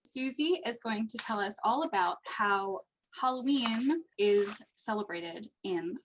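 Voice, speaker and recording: A woman's voice: medium pitch, lively intonation, unhurried, fairly bright tone, poor audio. A woman hosting an online meetup, introducing segments over a video call. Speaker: host. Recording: faint noise on video call.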